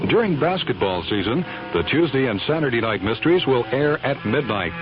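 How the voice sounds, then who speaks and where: A man's voice: medium pitch, very animated, a little fast, noisy background. An American man reading a scripted promo as a radio station announcer. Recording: muffled old radio, music behind.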